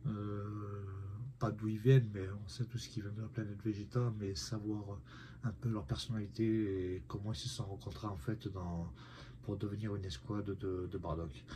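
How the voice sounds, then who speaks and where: A man's voice: fairly low pitch, mellow, slight background noise. A man with a head cold, casually reviewing a collectible figurine for viewers, hesitating often. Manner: hesitant, many "euh" fillers, speaks to viewers. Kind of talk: casual unboxing vlog.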